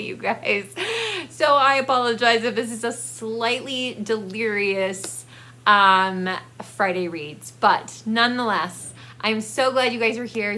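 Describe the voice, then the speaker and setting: An American woman chats informally as a book vlogger. Her voice is bright and forward, and she takes her time, rambling along at a slow pace. She is confiding and pokes gentle fun at herself. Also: fairly high pitch. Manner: rambling, self-deprecating, confiding. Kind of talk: casual chatty book vlog.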